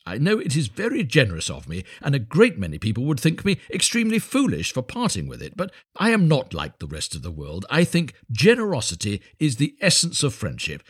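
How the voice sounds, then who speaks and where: A pompous, condescending miller played by a male reader from a storybook. His pitch swings widely as he moralizes in drawn-out, self-satisfied phrases. The recording is dry and close. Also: wildly swooping pitch, husky, no room sound. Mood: grandly self-satisfied. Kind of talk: storybook character voice.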